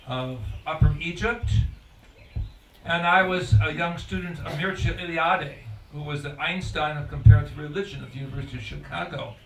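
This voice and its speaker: An older man lectures in formal, measured phrases. His voice is a little high for a man and carries through a very echoey room from some distance, with faint noise behind it. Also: a bit bright. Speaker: guest conference speaker, scholar. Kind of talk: public lecture.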